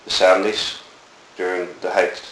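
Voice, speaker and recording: A middle-aged Belfast man with a very low voice tells of his childhood in a slow, reflective, rambling way, full of ums and restarts. He is recorded in an echoey room with a faint hiss behind him. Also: flat delivery, a bit bright. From Northern Ireland. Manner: rambling, reflective storytelling with many fillers. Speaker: interviewee. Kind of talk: oral history interview.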